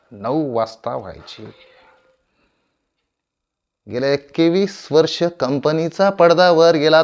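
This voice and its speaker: A man acting a proud, aging veteran stage actor in a theatrical monologue, grandiloquent and deliberate, with dramatic pauses and repeated phrases. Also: medium pitch, creaky, darkish tone, lo-fi sound. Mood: apologetic, dignified. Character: proud, aging veteran Marathi stage tragedian addressing his audience.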